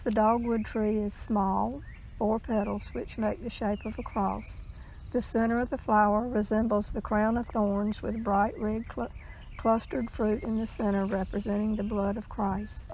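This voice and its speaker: A woman reading a legend aloud in a measured storytelling style, with the odd stumble. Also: on the high side, warm tone, a little hiss or hum, poor audio.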